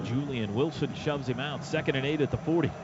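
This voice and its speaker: A man's voice: mid-range voice, a little fast. A man giving live radio play-by-play of a football game in clipped, urgent phrases. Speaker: play-by-play announcer. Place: stadium radio booth. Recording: dull, noisy stadium radio broadcast.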